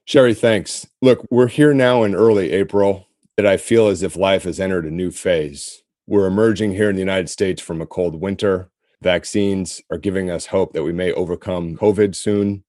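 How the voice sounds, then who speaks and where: A man with a low, deep voice hosts a podcast in a measured, formal manner. His tone is mellow and dark, and the sound is close and dry, with no room around it. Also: no echo. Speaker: podcast co-host. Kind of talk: scripted podcast hosting. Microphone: remote call-in setup.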